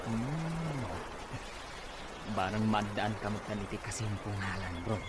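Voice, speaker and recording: A man's voice: lowish voice, lively intonation, unhurried, heavy background noise. A man playing a teasing, nosy buddy in an audio drama. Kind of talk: Ilocano audio drama acting.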